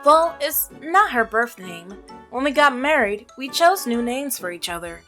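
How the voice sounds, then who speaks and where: A woman voicing an earnest, practical milkman from a visual novel, devoted and sincere in a measured way. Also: sits high, very animated, sharp tone, faint music behind, heavily acted. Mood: fond, devoted. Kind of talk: visual novel character reading.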